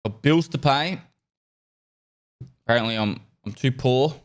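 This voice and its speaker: A man with a stuffy nose from a cold, chatting casually on a live stream and thanking subscribers in a rambling, relaxed way. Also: medium pitch, a little slow.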